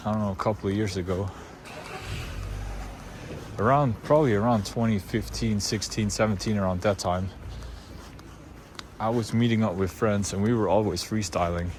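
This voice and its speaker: Young Swiss man, Swiss German accent, slightly low voice, chatty vlogger, noisy car recording. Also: fairly even intonation, moderate pace, pauses often, a little mellow. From Switzerland. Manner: loose, unscripted, many fillers and restarts. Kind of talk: casual rambling monologue.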